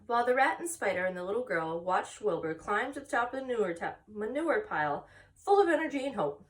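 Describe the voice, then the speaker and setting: A woman reading a children's storybook aloud in an expressive manner, pausing now and then to explain. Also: medium pitch, distant mic. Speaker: story-time reader.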